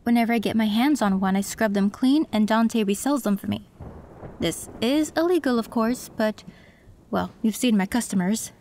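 Woman doing a chill cyberpunk tech lady explaining stuff, kinda high voice, quiet hiss behind. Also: normal speed, lightly acted. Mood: calm. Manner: calm, matter-of-fact, explaining. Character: female cyberpunk tech who modifies androids. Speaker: voice actress in audio roleplay. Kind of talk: scripted audio roleplay.

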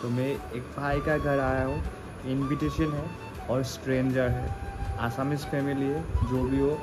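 A young man talking to his phone camera in a casual vlog at someone's home, chatty and a little hoarse from a cold. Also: medium pitch, level tone, unhurried, low-quality recording. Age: young adult. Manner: chatty, many fillers. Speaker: travel vlogger. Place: indoors at a home. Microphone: phone camera held at arm's length. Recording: music playing over the talk.

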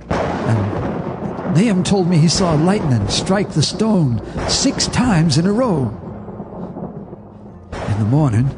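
An actor narrating a radio drama as an older farmer telling his memories in a measured, folksy way. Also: medium pitch, dark tone. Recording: reverberant radio drama with music.

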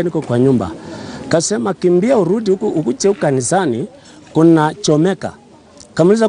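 Pastor man, mellow and animated. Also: a little high. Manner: animated retelling, acting out dialogue. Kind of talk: street interview. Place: outdoors in a crowd.

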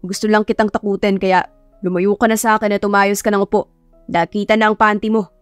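A woman's voice: medium pitch, flat delivery, fast, no room sound, soft background music, natural voice. A woman voicing a stern, grumpy and aloof male professor in an audio love story, speaking curtly. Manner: curt, gruff, unbothered.

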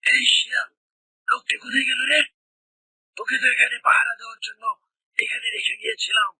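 A hungry cartoon tiger, played by a voice performer, bullying and full of menace. The voice is raspy and breathy yet forceful and sharp, scolding as it goes. It is recorded very dry. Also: mid-range voice, fairly quick, no echo, heavily acted, low-quality recording. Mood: angry. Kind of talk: children's cartoon voice acting.

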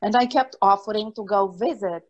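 A woman's voice: mid-range voice, moderate pace, dull audio. A woman in her forties, originally from Romania, who works as a chaplain, tells an earnest personal story during a video-call discussion. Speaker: hospital chaplain. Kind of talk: personal testimony in a group discussion.

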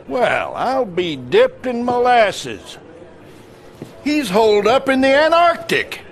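An actor playing an older American army general in a game cutscene, gruff and folksy with emphatic delivery. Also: male voice, very high-pitched, huge pitch swings, takes it slowly, full character voice. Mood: hearty, pleased. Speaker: military general.

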